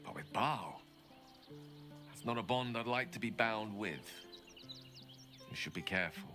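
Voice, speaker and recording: A man's voice: lowish voice, lively intonation, unhurried, sharp tone, soft background music. A man playing a wary, theatrical adventurer in a video game, wry and careful. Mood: startled, cautioning.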